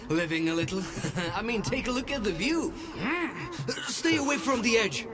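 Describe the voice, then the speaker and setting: A man's voice: high voice, lively intonation, moderate pace, over music. A man playing a laid-back, wistful wasteland wanderer in a game cutscene, easygoing and musing. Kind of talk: video game cutscene acting. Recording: noisy outdoor-scene game audio.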